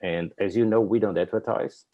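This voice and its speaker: A man's voice: on the low side, normal speed, narrow, tinny audio. A man in his fifties with an Austrian German accent, a guest telling his story in an earnest, rambling way with many fillers. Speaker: guest, company owner. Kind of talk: interview storytelling.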